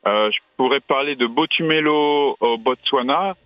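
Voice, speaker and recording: Guy from brittany on a radio interview, animated travel stories, stumbles for words a bit, dry slightly muffled sound. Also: medium pitch, normal speed, clean tone, dry recording. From Brittany, France.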